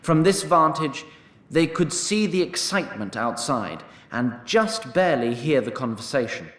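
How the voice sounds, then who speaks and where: A man narrating a scripted fantasy story in a measured, dryly wry manner. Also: on the high side, big pitch swings, fairly bright tone, echoey room.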